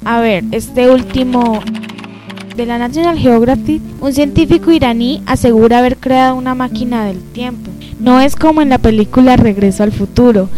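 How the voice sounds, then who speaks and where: A woman's voice: fairly high pitch, normal speed, music in the background, natural voice, rough recording. A young woman acting as a curious girl searching for answers in an amateur radio drama. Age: young adult.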